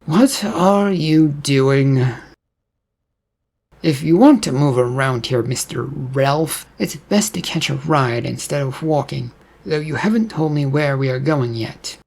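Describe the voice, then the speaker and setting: A man's voice: fairly high pitch, slow pace, gravelly, darkish tone, breathy edge, heavily acted. A man voicing an impatient, haughty demon who lives in someone's head, in an audiobook story. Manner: haughty, needling. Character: impatient, scornful male demon living in a man's head.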